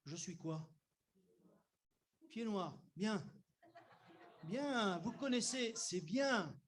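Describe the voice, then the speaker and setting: A man's voice: high-pitched, expressive, drawn-out words. A man from Algeria preaching a sermon in church, chatting directly with the congregation and building up to emphatic declarations. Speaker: pastor.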